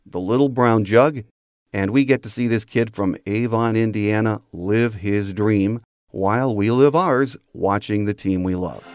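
Low-voiced man hosting a sports podcast. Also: a little mellow, no room sound, studio quality. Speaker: podcast host. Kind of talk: scripted sports commentary monologue.

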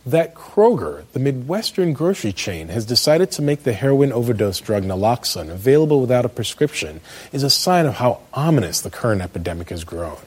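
An American man in middle age reading a scripted spoken essay as a commentator, with emphatic, measured phrasing. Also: medium pitch, big pitch swings, a little mellow, slightly rough. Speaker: commentator, law professor.

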